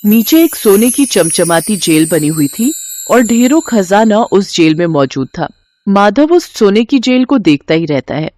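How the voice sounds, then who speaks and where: Animated woman storyteller. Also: medium pitch, measured pace. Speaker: narrator. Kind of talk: scripted children's story narration.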